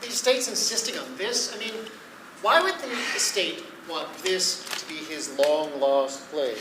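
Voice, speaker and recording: A woman's voice: sits low, a little slow. A woman playing a reluctant, exasperated actor in an amateur stage comedy, protesting in disbelief and dreading ridicule. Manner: protesting, incredulous. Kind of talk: live amateur one-act stage comedy. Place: indoor theatre stage. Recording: echoey, noisy live theatre recording.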